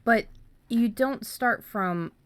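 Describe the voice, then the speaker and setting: A woman's voice: on the high side, slow, no echo. A woman co-hosting a podcast, giving casual, earnest advice and often stumbling and repeating words as she goes. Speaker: podcast co-host. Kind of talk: casual conversational writing advice.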